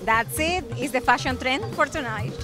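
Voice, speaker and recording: A woman's voice: high-pitched, moderate pace. A woman with a Colombian Spanish accent, a festival PR advisor, speaking promotionally on an outdoor red carpet. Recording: music playing behind.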